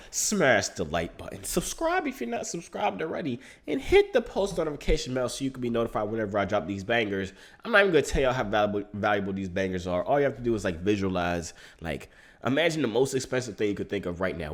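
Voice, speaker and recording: A young man with a congested, stuffy voice hosts his own video, joking with his viewers as he talks. His pitch swings all over the place and makes him sound lively and hyped. The style is loose and casual, like talking to friends. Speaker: crypto YouTuber. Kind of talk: casual vlog monologue.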